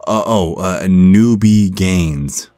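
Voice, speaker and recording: Guy acting as a low slow talking werewolf gym bro, flirty n encouraging, stammers a bit, asmr roleplay. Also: mellow, no room sound, soft background music. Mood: friendly, coaching. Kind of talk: ASMR roleplay, one-sided conversation.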